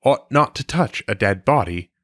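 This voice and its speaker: A man reading an old philosophical text aloud in a measured, formal manner, with clear phrasing, like an audiobook. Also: mid-range voice, lively intonation, fairly bright tone, no room sound, studio quality.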